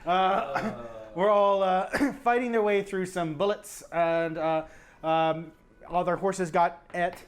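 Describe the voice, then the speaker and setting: A man with a notably high voice gives a loose, improvised recap of a tabletop game. His delivery is conversational, that of a player among others at the table. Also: fairly even intonation, slow. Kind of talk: casual, improvised story recap.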